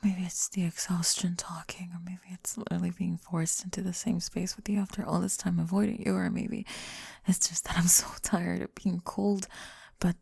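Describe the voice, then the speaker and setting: A woman playing a remorseful, vulnerable young woman in an intimate emotional ASMR roleplay, speaking haltingly and tenderly in a breathy, often whispered voice, close to the mic. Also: on the low side, little pitch movement, mellow, no echo, full character voice. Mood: vulnerable, hushed. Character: remorseful, vulnerable young woman confessing to someone she rejected. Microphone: close, dry microphone.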